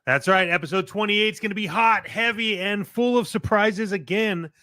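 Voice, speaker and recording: Male podcast host, higher-pitched, casual but emphatic promo chat, dry close studio sound. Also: normal speed, pushed and bright, dry recording. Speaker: podcast and radio show host. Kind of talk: casual show sign-off chat to listeners.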